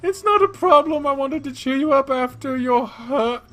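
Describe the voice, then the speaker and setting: A man voicing a sweet, doting middle-aged mother who fusses and gently bosses. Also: extremely high pitch, animated, moderate pace, faint music behind, voice pushed up. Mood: doting, cheerful. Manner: honeyed, fussing.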